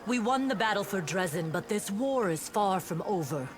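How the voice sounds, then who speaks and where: An actress playing an earnest, dutiful female paladin officer in a fantasy game, speaking in a formal, measured reporting manner. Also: mid-range voice, animated, lightly acted. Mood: formal, composed. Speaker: game character reporting to a commander. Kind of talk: voice-acted fantasy game dialogue. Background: music.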